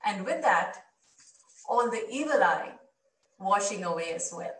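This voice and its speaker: Woman in her thirties, unhurried, deliberate phrases, reflective storytelling, very echoey room. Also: mid-range voice, expressive, a bit bright. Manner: measured, deliberate short phrases. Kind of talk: reflective personal storytelling, performed to camera. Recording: roomy, distant microphone via video playback.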